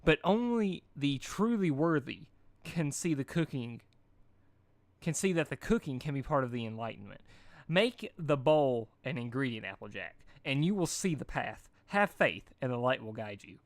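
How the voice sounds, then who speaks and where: A man's voice: medium pitch, very animated, normal speed, no room sound. Man putting on a sly, mocking cartoon party pony. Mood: preachy. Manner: mock cheer, smirking, muttering asides.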